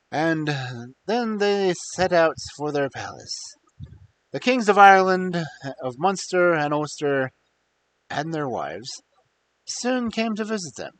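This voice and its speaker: A man's voice: fairly high pitch, fairly bright tone, low-quality recording. A man narrating fairy tales expressively as the host of a storytelling stream. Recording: faint background hiss.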